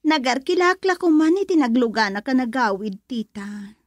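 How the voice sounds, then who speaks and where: Actress as high-pitched, pouty young Ilocano woman. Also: lively intonation, normal speed, dry recording, natural voice. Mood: hurt, pouting. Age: young adult. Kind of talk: Filipino radio drama acting.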